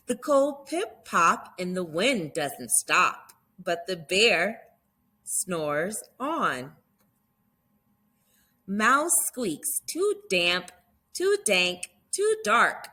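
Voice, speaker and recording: A woman reading a picture book aloud for young children in an animated, dramatic storytelling manner, drawing out key words. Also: on the low side. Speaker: children's read-aloud host.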